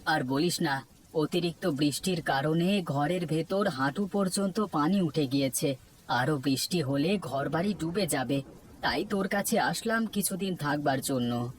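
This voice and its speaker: A man's voice: fairly high pitch, measured pace, slight background noise, close to an everyday voice. A man with a Bangladeshi accent playing a worried, humble family man in a cartoon, speaking plainly and earnestly. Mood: troubled. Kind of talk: Bengali cartoon story dialogue. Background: background music.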